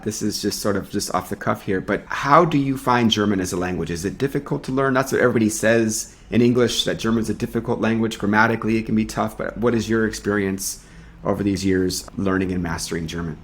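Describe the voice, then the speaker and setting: A man's voice: lowish voice, fairly quick. A man co-hosting a video podcast, talking in an earnest, reflective way and reading a guest introduction. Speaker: podcast co-host.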